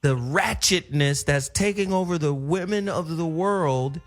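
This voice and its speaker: A man hosting a casual radio talk show, taking calls briskly and swearing loosely. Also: fairly high pitch.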